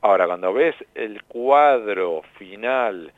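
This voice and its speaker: A man from La Plata with a Rioplatense accent, a journalist and author, explaining history in a measured, storytelling way as a radio guest. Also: fairly low pitch, animated, no room sound. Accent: Rioplatense Spanish. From La Plata, Argentina. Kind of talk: phone-in radio interview. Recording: dry tinny phone line.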